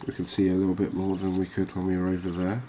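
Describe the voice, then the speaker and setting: A man gives soft ASMR game commentary in a low, very even voice. He takes his time, sounding calm and reassuring. There is faint noise and ambience behind him. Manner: unhurried, calming, reassuring. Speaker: host of a cozy gaming channel.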